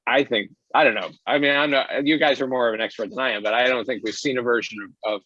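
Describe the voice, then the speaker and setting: A man answering as an interview guest, a director speaking casually in halting, hesitant phrases. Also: mid-range voice, sharp tone, dry recording. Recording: dry but slightly dull audio.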